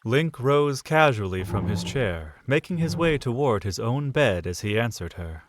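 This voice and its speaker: A man narrating a romantic fan audio drama, measured and soft. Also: medium pitch, lively intonation, a little hiss or hum.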